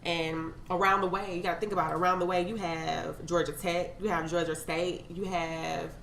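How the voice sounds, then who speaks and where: A woman's voice: on the low side, little pitch movement, moderate pace, creaky, fairly bright tone. A young adult woman hosting a podcast, speaking as a candid, chatty podcast host who swears freely. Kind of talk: casual chat. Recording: echoey room, faint ambient noise.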